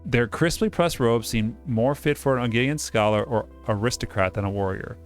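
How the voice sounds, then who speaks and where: A man's voice: mid-range voice, moderate pace, faint music behind. A man giving casual, digressive gaming commentary, joking around and reading on-screen text aloud. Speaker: game playthrough host.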